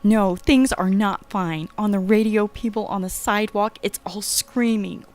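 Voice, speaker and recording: A woman's voice: mid-range voice, a little slow, darkish tone, faint music behind, lightly acted. An actress playing a frightened, sick young woman in a horror audio drama, urgent, agitated and pleading. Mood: frantic insistence.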